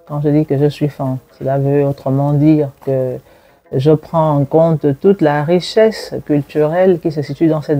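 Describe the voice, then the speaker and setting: A woman in her forties from Gabon, a university lecturer speaking in an interview and recalling her childhood in a reflective way, pausing with fillers and laughing now and then. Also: sits low, dark tone. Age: 40s. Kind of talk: interview, personal testimony.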